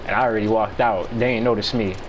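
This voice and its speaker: Low voiced american dude rambling a story to a friend, lots of swearing, bit of background noise. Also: male voice, fairly even intonation. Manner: slangy, profane, halting delivery. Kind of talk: casual storytelling chat among friends.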